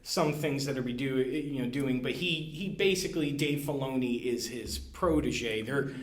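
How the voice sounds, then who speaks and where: A man of middle pitch talks through a casual podcast conversation, often pausing with fillers and restarting his thoughts. The room around him rings with noticeable echo. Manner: hesitant, many fillers and restarts. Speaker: guest, library staff. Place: room at a library.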